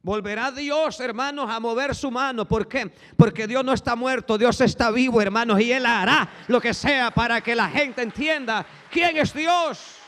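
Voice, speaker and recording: A male pastor preaching a fervent sermon, swinging from hushed tones to shouting and repeating "brothers" as a refrain. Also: very high-pitched, normal speed, few pauses. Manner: repeats "hermanos" as a constant refrain. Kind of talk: Spanish-language sermon. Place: church sanctuary. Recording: long echo of a large hall.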